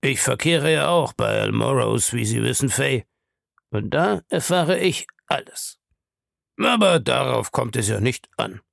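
A man voicing a shady, pushy male schemer in an audiobook, insistent, ironic and scornful, with a gravelly voice. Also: medium pitch, animated, measured pace, a bit bright, no echo, clean studio sound, heavily acted. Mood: ironic, scheming. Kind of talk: audiobook character dialogue.

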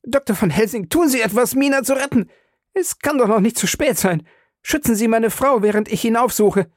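A man doing a frantic young husband shouting. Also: very high voice, animated, moderate pace, dry recording, studio quality, pitched above the performer's own voice. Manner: shouting in panicked questions.

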